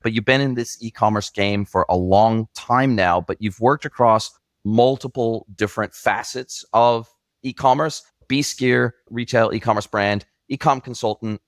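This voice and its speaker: A man's voice: medium pitch, normal speed, no room sound, clean studio sound. A man in his forties with a mixed international accent, hosting a podcast interview in an effusive, enthusiastic way. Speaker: podcast host.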